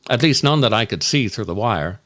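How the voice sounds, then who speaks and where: A man delivering a scripted comic monologue as a storyteller, with dramatic, deliberate and darkly comic delivery. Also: on the low side, animated, a bit bright. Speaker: comedian and podcast host.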